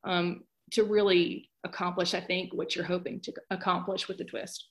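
A woman's voice: on the low side, little pitch movement, normal speed. A woman author talking as a guest in a conversational interview.

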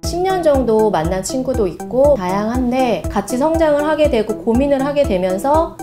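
A woman in her forties, a ceramics teacher, speaking reflectively and gently about her students. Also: high-pitched, animated, moderate pace, music in the background. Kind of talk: documentary interview. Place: pottery studio, indoors.

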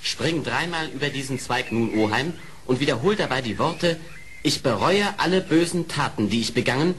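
A man acts an earnest badger in an old radio play. His mid-pitched voice gives solemn instruction, over faint record noise. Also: lively intonation, moderate pace, a bit bright, natural voice. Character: earnest male badger, the fox's nephew and confessor.

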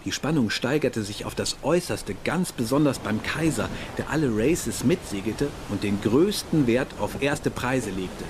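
An actor as a mid-voiced naval officer. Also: male voice, medium pitch, lively intonation, normal speed, hardly any pauses, background noise, natural voice. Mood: composed, reflective. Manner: literate, formal recounting. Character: German imperial naval officer, composed, reflective memoirist. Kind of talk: documentary radio drama narration.

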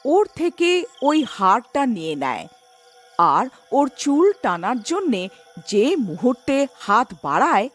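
A woman's voice: on the high side, big pitch swings, unhurried, faint music behind. A woman narrator reading a scripted cartoon story.

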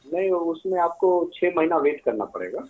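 A man's voice: on the high side, animated, normal speed, a little mellow. A man answering a phone call as an official, in brief, matter-of-fact replies.

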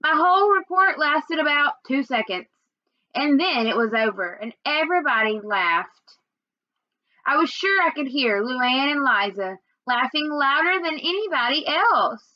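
Young female storyteller, high register, expressive read-aloud delivery, close dry room sound. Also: measured pace, no echo, dull audio. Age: young adult. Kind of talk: read-aloud of a children's novel. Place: small indoor room at home.

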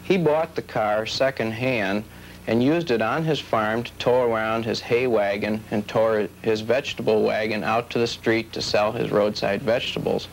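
A man's voice: on the low side, steady tone, moderate pace. A man talking casually in an interview as a collector describing what he owns. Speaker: collector of antique cars. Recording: slightly noisy 1970s videotape.

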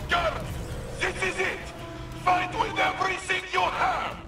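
A man's voice: very high voice, fairly even intonation, a little slow. A man playing a hearty, devoted robot warrior in a video game, speaking in a grandiose, emphatic and earnest way. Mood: rousing. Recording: roomy, distant, music behind.

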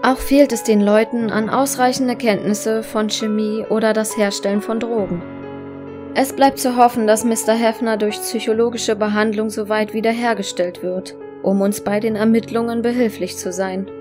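A woman's voice: fairly high pitch, steady tone, moderate pace, lightly acted. Woman acting as lady detective reading a police report, matter-of-fact, music playing behind. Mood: formal, sober.

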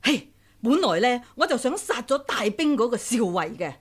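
A woman's voice: on the high side, sing-song, normal speed, breathy edge. An actress playing a blunt, feisty wife in her sixties in a Cantonese radio drama from Hong Kong. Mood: brash storytelling.

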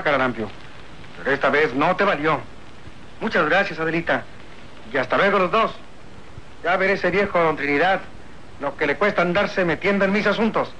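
Hotheaded young mexican rancher in radio serial, mexican accent, punchy bright voice, bit of hiss. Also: male voice, on the high side, muffled recording. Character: bold, insistent, hot-tempered young rancher hero. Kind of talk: old radio serial acting. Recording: faintly noisy old radio recording.

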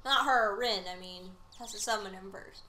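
A woman's voice: medium pitch, normal speed, sharp tone. A young woman co-hosting a gaming show with casual, teasing commentary and banter. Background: video game music.

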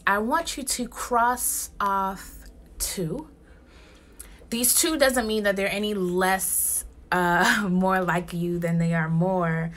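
Young woman from Ghana teaching poetry. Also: mid-range voice. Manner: expressive, addresses students directly and casually. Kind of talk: recorded online poetry lesson. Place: small room indoors at home. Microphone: phone camera at arm's length.

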